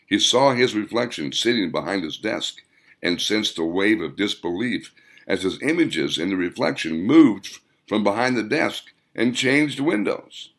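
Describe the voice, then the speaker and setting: A man's voice: lowish voice, lively intonation, a little slow, fairly bright tone. A man reading an audiobook story in a dramatic, descriptive storytelling manner. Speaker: author reading own audiobook. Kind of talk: scripted audiobook narration.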